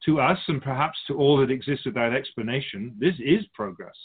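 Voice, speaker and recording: A man playing an otherworldly, wise spiritual guide in a radio drama, measured and instructive with gentle amusement. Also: mid-range voice, lively intonation, slightly rough. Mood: serene, knowing. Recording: slightly muffled, phone-like narrow sound.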